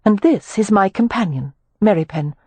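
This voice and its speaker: A woman's voice: medium pitch, lively intonation, normal speed, a little mellow, husky, dry recording, pitched above the performer's own voice. A woman voicing a proper, determined young Victorian lady who speaks politely, formally and insistently. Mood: earnest, insistent.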